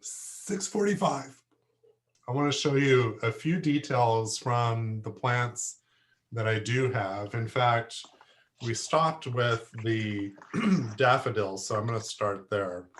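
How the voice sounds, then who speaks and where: A man teaching a plant class who is losing his voice, hoarse and halting, often stopping to clear his throat. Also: medium pitch, a little hiss or hum. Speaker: horticulture instructor. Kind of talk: lecture to a class.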